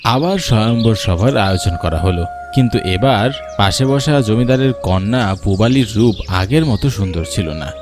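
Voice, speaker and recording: Low-voiced male storyteller. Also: lively intonation, normal speed. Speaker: narrator. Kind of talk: scripted storytelling narration. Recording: music behind the voice.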